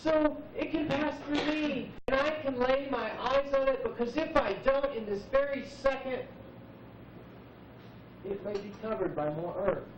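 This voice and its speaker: A man in his forties performs a reflective spoken-word piece at a live open mic, letting pauses hang. He is heard from a distance in a roomy space, on a muffled old tape. His delivery is unhurried and thoughtful. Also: extremely high pitch. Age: 40s. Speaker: poet speaking at an open mic. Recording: muffled noisy VHS recording, echoey, distant.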